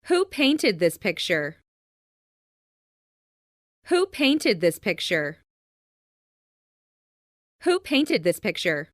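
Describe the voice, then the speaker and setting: A woman reading scripted English example sentences for language learners, enunciating carefully and deliberately. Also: mid-range voice, very animated, no echo, studio quality. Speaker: English lesson narrator.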